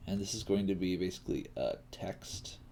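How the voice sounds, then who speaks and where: A man teaching coding on a casual livestream, thinking aloud in a halting mutter as he works. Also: low-pitched, a little mellow. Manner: halting, muttering to himself while working. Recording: faint noise, keyboard typing and clicks. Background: computer keyboard typing and mouse clicks.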